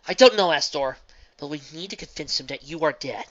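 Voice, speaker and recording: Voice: mid-range voice, expressive, fairly quick, highs cut off, voice pushed up, lightly acted. A reader doing a remorseful immortal pony princess. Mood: grave. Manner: grave, pleading, sometimes hushed.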